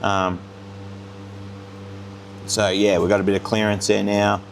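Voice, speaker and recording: An Australian man with a fairly low voice chats casually to viewers like a workshop car vlogger. His delivery is unscripted, laid-back and plain-spoken, with colloquial turns of phrase. Clear vehicle noise sits behind him. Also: flat delivery, a little slow. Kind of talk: casual unscripted vlog talk. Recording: clear background noise of cars.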